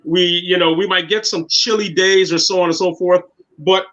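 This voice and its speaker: Man in his 50s, interview guest, bright voice, muffled lo-fi sound. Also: high voice, fairly even intonation, moderate pace. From Guyana. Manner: earnest, repeats words while finding his thoughts. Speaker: guest, city councilman. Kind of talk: casual interview talk. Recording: dull lo-fi sound.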